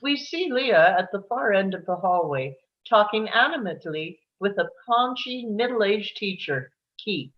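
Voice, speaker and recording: A woman's voice: mid-range voice, lively intonation, measured pace, few pauses, muffled recording. A woman reading stage directions as the narrator of a live screenplay table read.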